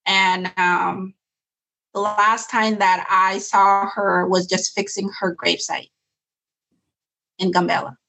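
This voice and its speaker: A woman's voice: medium pitch, flat delivery, slow, gravelly, pauses often, sharp tone, low-quality recording. A woman from Sudan, a nonprofit founder speaking earnestly and reflectively in an interview, often restarting her sentences. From South Sudan. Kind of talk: interview conversation.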